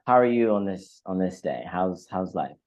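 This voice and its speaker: A man's voice: low-pitched, lively intonation, moderate pace, darkish tone, muffled recording. A man hosting a conversational interview podcast, effusive in his praise and often repeating words as he feels his way through a phrase.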